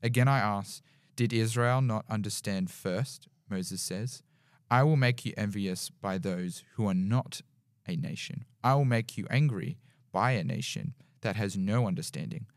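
A young man with a deep baritone voice reading scripture aloud in a small podcast studio, with small slips as he reads. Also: unhurried, no echo. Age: young adult. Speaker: podcast guest. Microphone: studio mic on boom arm with headphones.

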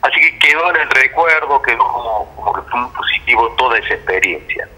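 A man's voice: medium pitch, lively intonation, a little fast, pushed and bright, breathy edge. An Argentine man giving spontaneous, explanatory answers as an interview guest over a telephone line. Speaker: interview guest, theatre director.

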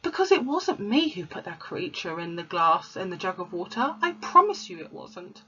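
A woman voicing a small, clever, earnest girl in a read-aloud storybook, with a breathy voice. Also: lowish voice, very animated, normal speed, pushed and bright, heavily acted. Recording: slightly muffled recording.

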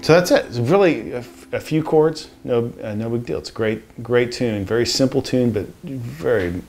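A man in his forties giving a casual guitar lesson in a home studio, relaxed and rambling with lots of fillers. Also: mid-range voice, moderate pace. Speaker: guitar teacher. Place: home music studio, indoors. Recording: guitar strummed under the voice. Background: acoustic guitar playing.

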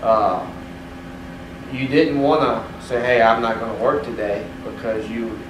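A man's voice: medium pitch, fairly even intonation, unhurried. A man on a panel answering audience questions, rambling with plenty of "you know" fillers. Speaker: panelist for the fishing industry. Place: hotel conference room. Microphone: distant room mic. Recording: echoey room, distant mic, air-conditioning hum.

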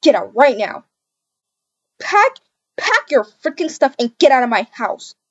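A woman's voice: high-pitched, big pitch swings, unhurried, slightly rough, dull audio, heavily acted. A woman playing a hurt, indignant young mother in an amateur video game roleplay, speaking emphatically and repeating herself. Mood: furious, hurt. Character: hurt, furious young mother kicking out cheating partner.

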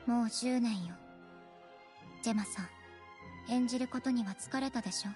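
A woman acts a cool young cat-girl from an anime game. Her voice is fairly high but composed and deadpan, each line measured and quietly pointed, with light music underneath. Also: close to an everyday voice. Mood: solemn. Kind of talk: Japanese anime game voice acting. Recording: faint music behind the voice.

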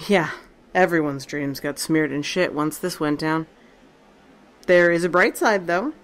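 A woman's voice: deep voice, measured pace. A woman playing Tessa, a tough, sarcastic and profane survivor, in a fan audio drama. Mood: wry.

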